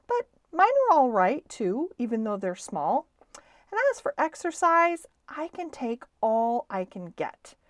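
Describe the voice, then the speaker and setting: A tiny storybook mouse boy performed by a woman reader, proud and boastful. The voice sits high and jumps about eagerly, with emphatic delivery. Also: very animated, a little slow, hardly any pauses, no room sound, clean studio sound. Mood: eager boasting. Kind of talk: children's storybook reading.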